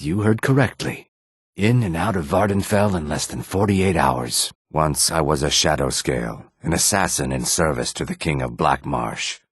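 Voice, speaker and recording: An actor playing a calm, proud and courteous lizardfolk assassin in a video game, with a measured, menacing, theatrical delivery. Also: male voice, a bass voice, husky, no echo, clean studio sound. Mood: calm, matter-of-fact. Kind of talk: video game character dialogue.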